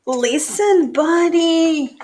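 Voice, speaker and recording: A woman's voice: extremely high pitch, very slow, a little mellow, slight background noise, pitched above the performer's own voice, exaggerated voice. A woman voicing a firm, cautioning parent rabbit in a children's storybook. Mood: exasperated. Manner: instructing, insistent.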